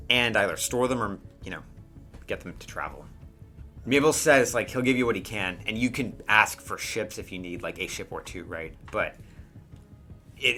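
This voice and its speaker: A man with a fairly low voice and a bright, forward tone runs a tabletop role-playing game. He narrates off the cuff in a conversational style, often checking that his players follow. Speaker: game master.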